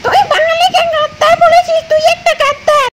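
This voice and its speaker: A very high, squeaky cartoon boy, cheeky and boastful. He talks in taunts and exclamations, in the broad manner of comic cartoon dubbing. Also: level tone, a little fast, very noisy. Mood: cocky, taunting. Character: cheeky, boastful childlike cartoon troublemaker named Shubhojit, male.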